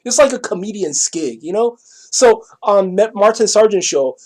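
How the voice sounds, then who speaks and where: A middle-aged man from Taiwan with a Taiwanese accent, telling a personal story casually in a rambling, halting, repetitive way, often checking that the listener follows. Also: sits high, lively intonation, a little mellow.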